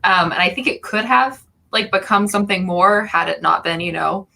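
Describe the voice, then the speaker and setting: Female guest reviewer, crisp bright tone with marked fry, informal and opinionated. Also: mid-range voice, normal speed. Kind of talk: casual opinionated chat.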